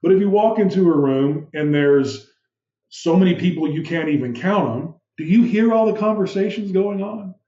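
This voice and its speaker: A man's voice: sits high, normal speed. Commanding man with a muffled, roomy sound. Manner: animated, uses vivid room analogies and rhetorical questions; describes his own voice as big and commanding. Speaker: podcast guest, business founder. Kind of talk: explanatory interview talk.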